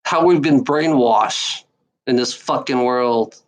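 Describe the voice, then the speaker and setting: A woman's voice: a bass voice, slow pace, low-quality recording. A woman delivering an angry, profane monologue to the camera, repeating phrases and restarting sentences often. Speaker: online commenter.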